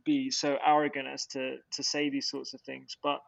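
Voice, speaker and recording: A man's voice: medium pitch, completely flat delivery, normal speed, gravelly, no room sound, dull audio. A man being interviewed as a guest, talking reflectively with many restarts and fillers. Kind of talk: reflective casual interview talk.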